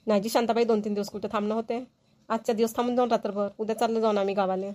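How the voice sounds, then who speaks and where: A woman's voice: fairly high pitch, level tone, rapid-fire, dark tone, natural voice. A woman voicing a polite visiting village sister-in-law in a cartoon, with a Vidarbha Marathi accent. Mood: explaining. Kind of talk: amateur cartoon dialogue acting.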